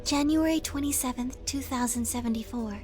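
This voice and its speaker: A high-pitched, gentle young caretaker played by a woman in a video game. She is composed, dutiful and precise, explaining things politely over faint music. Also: fairly quick, lightly acted. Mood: calm, informative.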